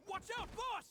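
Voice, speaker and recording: An actress playing a loyal, alert aide in a video game, brisk and dutiful. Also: female voice, very high voice, a bit bright, slight background noise, exaggerated voice. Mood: alarmed. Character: loyal, alert female aide reporting to her boss.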